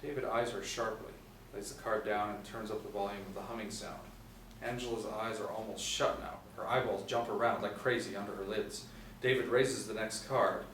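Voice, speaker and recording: A middle-aged man narrates screenplay directions in a low voice. He is recorded in an echoey room, some distance from the mic, with faint noise behind him. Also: fairly even intonation, normal speed, fairly bright tone. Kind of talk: scripted narration at a screenplay table read. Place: small indoor room, seated group reading.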